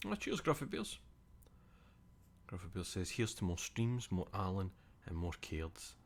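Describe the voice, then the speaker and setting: Young Scottish male streamer, very low voice, loose rambling chat with casual swearing. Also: big pitch swings, a little slow, slight background noise.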